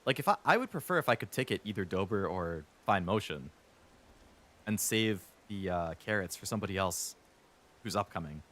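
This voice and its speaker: A man streaming a game, chatting casually and rambling a bit, stuttering now and then when he talks fast. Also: deep voice, lively intonation, fairly bright tone. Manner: rambling, chatty, lots of fillers.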